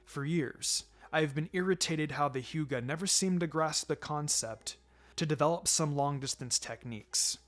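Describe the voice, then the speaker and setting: A man voicing a calm, firm ninja mentor in a fan fiction reading, encouraging and dryly teasing in a measured, instructive way. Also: on the high side, soft background music, natural voice. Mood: calm instruction. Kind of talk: fan fiction character dialogue.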